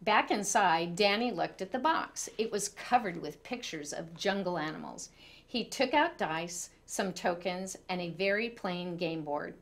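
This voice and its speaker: A woman storyteller reading a children's picture book aloud in an expressive way. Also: fairly low pitch, unhurried.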